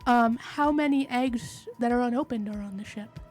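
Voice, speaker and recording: Female game player, higher voice, inquisitive and explanatory, casual table talk with music behind. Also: normal speed, darkish tone.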